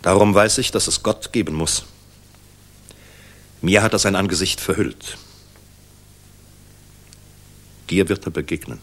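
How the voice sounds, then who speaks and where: Very deep, husky, world-weary general. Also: male voice. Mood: confessional. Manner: measured, solemn, reflective, rhetorical. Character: world-weary, grave Luftwaffe general, middle-aged man. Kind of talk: 1960s German radio play acting.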